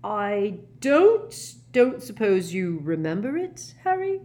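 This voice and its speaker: A woman voicing a tearful, tipsy, frightened old male professor in a storybook, halting and genteel. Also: a little high, expressive, voice pushed up. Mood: tipsy, awkward.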